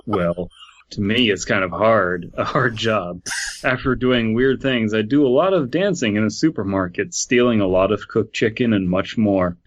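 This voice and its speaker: A man's voice: lowish voice, muffled recording. A man co-hosting a casual comedy podcast, reading stories aloud with lots of fillers over a call. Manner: halting, many fillers, reads aloud deadpan. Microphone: remote call over Skype.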